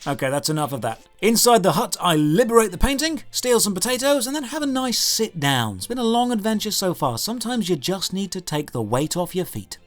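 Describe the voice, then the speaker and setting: Guy with a highish voice doing a sarcastic scripted game review, dry jokes, bit of music underneath. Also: sing-song, a little fast, few pauses.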